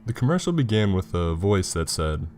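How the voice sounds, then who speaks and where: Low-voiced man narrating horror. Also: big pitch swings, normal speed, darkish tone, a little hiss or hum. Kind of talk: scripted creepypasta narration. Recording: music behind the voice. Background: background music.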